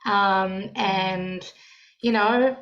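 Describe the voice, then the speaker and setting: A young woman with a New Zealand accent, a guest talking in a loud, lively way with frequent laughs. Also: mid-range voice, drawn-out words, highs cut off. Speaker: podcast guest, songwriting coach. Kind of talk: casual interview chat.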